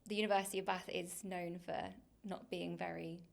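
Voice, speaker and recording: A woman in her twenties from Leicester with a faded Leicester accent, chatting casually as a podcast guest, with many ums and a habit of trailing off quietly. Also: fairly low pitch, little pitch movement, brisk, gravelly. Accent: faded Leicester English. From Leicester, England. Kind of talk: casual interview chat.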